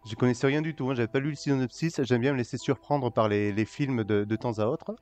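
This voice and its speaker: A man in his forties, a new panelist on a film podcast, telling stories in a relaxed, unhurried way. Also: mid-range voice, smooth, soft background music. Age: 40s. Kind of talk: casual film chat.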